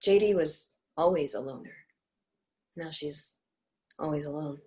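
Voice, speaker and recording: A woman reading scripted dramatic narration and stage directions in a measured, deliberate way. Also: low voice, mellow.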